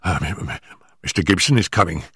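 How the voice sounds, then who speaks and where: A man reading an audiobook, voicing a nervous male estate manager who stammers and talks in hurried, breathless bursts. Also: low voice, expressive, fairly bright tone, breathy edge, dry recording, clean studio sound, full character voice. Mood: agitated.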